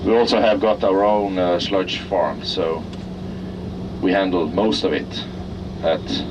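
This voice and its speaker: A man with a Norwegian accent leads a tour in a lowish voice, stopping now and then to find the English words. He is heard from some way off the mic. Loud traffic and machinery noise fill the outdoor recording. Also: steady tone, recorded from a distance, dull audio. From Norway. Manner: halting, searching for English words. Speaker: tour guide at an industrial plant. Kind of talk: guided tour commentary. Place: outdoors at an industrial site.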